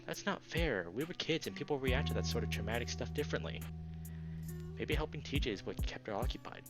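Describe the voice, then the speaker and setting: A man's voice: mid-range voice, lively intonation, fairly quick, over loud music, voice pushed up, lightly acted. A man voicing an earnest young man in a visual novel, reasoning hesitantly, conciliatory but reproachful. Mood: earnest, placating. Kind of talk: visual novel character read-aloud.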